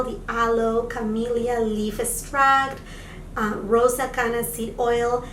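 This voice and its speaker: A woman with a slightly raspy voice, chatting to viewers as friends in a product review vlog. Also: on the high side, a little hiss or hum. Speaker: beauty vlogger. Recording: echoey room, mic at a distance.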